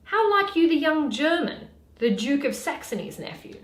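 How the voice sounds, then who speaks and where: A loyal lady's maid from Shakespearean drama, played by a woman, speaks in a lightly high voice. She is polite, curious and coaxing, with a theatrical turn to her delivery. The sound is roomy and echoey. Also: sing-song, moderate pace. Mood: prompting. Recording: roomy, echoey home recording.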